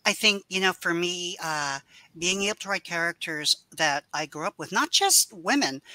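A woman's voice: lowish voice, expressive, normal speed, pushed and bright, dry recording. A middle-aged woman author speaking in a home room over a video call, thoughtful and rambling with many fillers and restarts. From United States. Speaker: author guest. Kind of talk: casual video-call interview.

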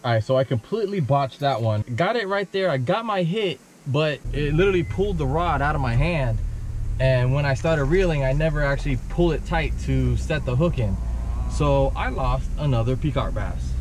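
A man in his thirties vlogging while fishing outdoors, chatting and coaching in a casual, excited way. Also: on the high side, normal speed. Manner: excited, chatty, hushed when stalking fish. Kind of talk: casual vlog narration and coaching. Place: outdoors by a canal, sometimes in a car. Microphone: action camera. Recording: outdoor camera audio with wind and traffic noise.

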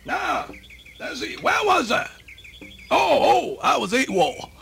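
Casting: elderly Southern US yarn-spinner for radio play, high gravelly voice, folksy, repeats himself. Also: male voice, very animated, takes it slowly, noisy background, exaggerated voice. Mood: folksy, amused. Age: older. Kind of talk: radio theatre monologue.

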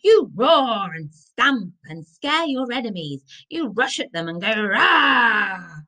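A woman voicing a boisterous, fierce Viking warrior man who roars and shouts in broken English in a story. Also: on the high side, very animated, slow pace, pitched above the performer's own voice, full character voice. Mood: fierce, instructing.

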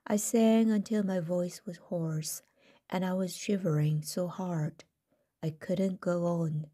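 Slow, mellow-voiced woman reading a story. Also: on the low side, steady tone. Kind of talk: scripted first-person story read aloud.

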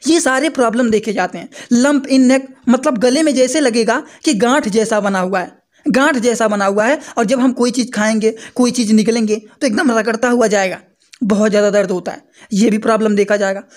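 A young man explaining a medical topic to students in a lecture, repeating points and checking with rhetorical questions over his slides. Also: very high voice. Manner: repetitive, asks listeners rhetorical checks. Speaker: pharmacy teacher.

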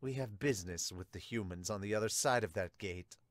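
A man voicing a cold, disdainful elf invader in a manga dub, calm and contemptuous. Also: fairly low pitch, a little fast, no room sound, pitched below the performer's own voice, close to an everyday voice.

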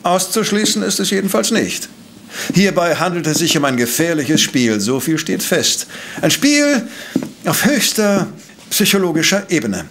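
Shrewd professor, richly inflected. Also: male voice, high-pitched, sing-song, noisy background, exaggerated voice. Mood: musing, wry. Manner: formal, elaborate, measured phrasing. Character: shrewd, self-assured male professor turned investigator. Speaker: detective professor. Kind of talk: German audio drama acting.

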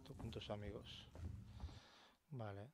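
A man's voice: lowish voice, steady tone, slow pace. A man with an accent from Spain giving casual, sweary let's play commentary, muttering to himself. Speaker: game player, channel host. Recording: clear background game noise.

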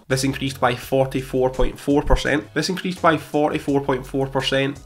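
A young British man with a slightly croaky voice after illness, steadily reading out his investment figures to camera from a small home room. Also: medium pitch, a bit bright. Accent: British English. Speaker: personal finance YouTuber. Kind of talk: scripted portfolio update to camera. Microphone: studio mic in front of him.

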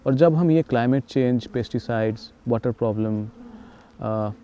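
A man's voice: medium pitch, moderate pace, mellow. A man, a filmmaker, talking unscripted in an interview, recorded outdoors in an open field. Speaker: documentary filmmaker. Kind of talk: unscripted interview talk to camera. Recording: muffled outdoor recording with background noise.

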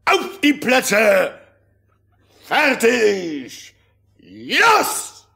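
Vain, scheming old king for a fairy-tale radio play; pompous, husky, slow delivery. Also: very high voice, big pitch swings, sharp tone, full character voice. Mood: commanding. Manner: pompous, theatrical.

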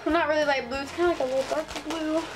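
A woman's voice: high-pitched, fairly even intonation, very fast, music in the background. Bubbly young woman with a Southern Ohio twang. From Midwest United States. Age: young adult. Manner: bubbly, gushing, chatty with many ums and likes. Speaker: vlogger. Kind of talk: casual chat to camera. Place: small bedroom indoors. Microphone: built-in webcam mic.

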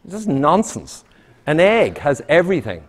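A man's voice: fairly high pitch, huge pitch swings. A middle-aged man giving a punchy, emphatic talk to a live audience in a town hall, recorded from a camera across the room. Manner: punchy, emphatic, quick asides. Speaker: public speaker. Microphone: far camera, room sound.

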